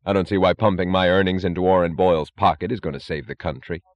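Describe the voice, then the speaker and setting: A man voicing a calm, blunt industrialist who speaks in a cold, matter-of-fact, logical way. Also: low-pitched, quick pace, muffled recording, natural voice. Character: calm, blunt, defiant male steel industrialist, coldly logical.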